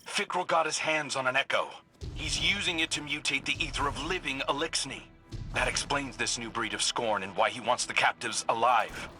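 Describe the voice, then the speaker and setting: An actor playing a resolute, principled commander giving earnest mission briefings in a video game. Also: male voice, medium pitch, normal speed, pushed and bright, faint music behind. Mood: urgent.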